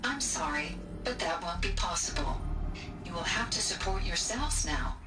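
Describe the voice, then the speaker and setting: A woman voicing a gentle caretaker AI in a video game, speaking in a soft, composed, clinical yet tender way. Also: fairly low pitch, lively intonation, normal speed, lightly acted. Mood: calm. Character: gentle female caretaker AI called Mother. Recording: distant echoey game audio over music.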